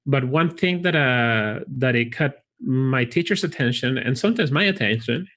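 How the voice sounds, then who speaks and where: A man with a Chilean Spanish accent, a college recruitment director, talking in a friendly, relaxed way with frequent restarts in a casual interview. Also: mid-range voice, moderate pace. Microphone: video call.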